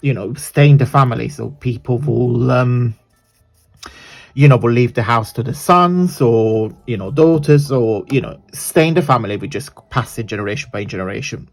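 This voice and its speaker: A man from southern Italy with an Italian accent and a croaky voice, hosting a chatty, rambling crafting tutorial. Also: mid-range voice, moderate pace. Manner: rambling, chatty, self-correcting.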